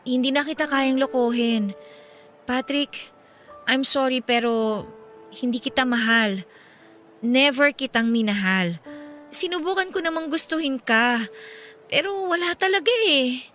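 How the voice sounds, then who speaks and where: A woman's voice: high-pitched, unhurried, long pauses, fairly bright tone, soft background music. An actress playing a weary, guilt-ridden young girlfriend, exasperated and apologetic, in a radio drama. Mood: guilty, apologetic.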